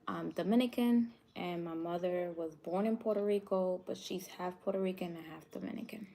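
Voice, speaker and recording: A woman's voice: on the low side, moderate pace, creaky, warm tone. A woman from New York hosting a live cooking stream, chatting casually with viewers and laughing often. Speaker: cooking show host.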